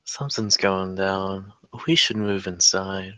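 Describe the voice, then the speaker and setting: Bright, direct middle-aged aunt acted by a woman. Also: very deep voice, flat delivery, takes it slowly, dry recording, heavily acted. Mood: caring, probing. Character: caring, direct forty-four-year-old aunt, an EMT. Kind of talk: scripted table-read acting.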